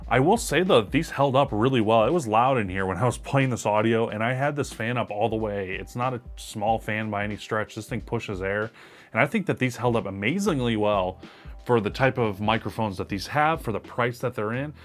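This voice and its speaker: A man's voice: fairly low pitch, lively intonation, brisk, faint music behind. A man with a powerful voice giving an upbeat, emphatic product review to camera. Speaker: tech reviewer.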